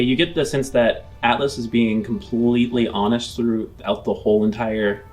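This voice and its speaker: A man running a tabletop game as game master, improvising descriptive narration in a conversational way. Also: medium pitch. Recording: music playing under the voice.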